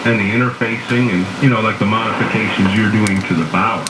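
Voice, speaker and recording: A man's voice: lowish voice, steady tone, fast talker, music in the background. An American man chatting casually as an amateur radio operator, with an easygoing, reassuring manner. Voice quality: throat-clearing between phrases. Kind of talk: casual ham radio chat. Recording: noisy, echoey shortwave radio reception. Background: loud radio static.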